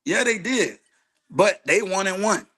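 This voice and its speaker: A man with a slightly high voice talks as a coach on a casual sports show. He argues emphatically in street slang, hammering points home by repeating phrases. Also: animated, a little slow, sharp tone, husky. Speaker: youth football coach, show guest. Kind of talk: casual, argumentative sports chat.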